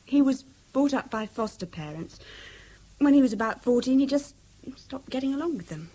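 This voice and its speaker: A British schoolgirl in a radio play. Her voice is high and swings freely in pitch. She comes across as earnest and loyal. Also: lively intonation, moderate pace, mellow, poor audio. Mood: defensive, earnest. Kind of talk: radio drama acting.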